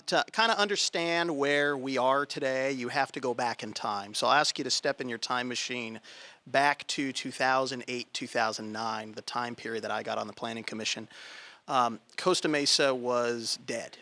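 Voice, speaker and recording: A man's voice: mid-range voice, steady tone, moderate pace, bright, forceful tone. A man from California speaking as a planning commissioner to a local group, in a conversational, plainspoken way with emphatic key points. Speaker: planning commissioner speaking at a political club meeting. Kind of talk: public talk to a local group. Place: meeting room. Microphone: handheld microphone.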